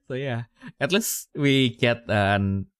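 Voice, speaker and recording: A man's voice: mid-range voice, very animated, takes it slowly, fairly bright tone, clear, resonant tone, no echo, studio quality. A young man, hosting a podcast in casual, rambling chat full of fillers and restarts. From Indonesia. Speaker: podcast host.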